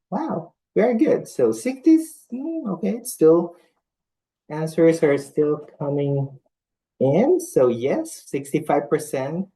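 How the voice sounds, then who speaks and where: A man from the Philippines speaks to a large nursing conference, and English is not his first language. His voice sits on the low side and sounds mellow and warm. He talks earnestly, with frequent ums and self-corrections, and runs audience polls as he goes. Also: low-quality recording. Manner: earnest, many fillers and restarts.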